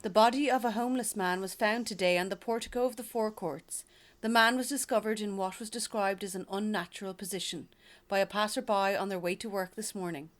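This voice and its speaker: An actress playing a composed, polite radio reporter in a radio drama, speaking in a measured way. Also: female voice, mid-range voice, fairly even intonation, lightly acted. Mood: sober. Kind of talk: radio play acting, interview and news report.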